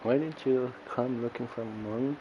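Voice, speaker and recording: Male streamer from the philippines, chill slow commentary, lowish voice, muffled mic w faint noise. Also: fairly even intonation. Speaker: game livestreamer. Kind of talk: casual live commentary over gameplay.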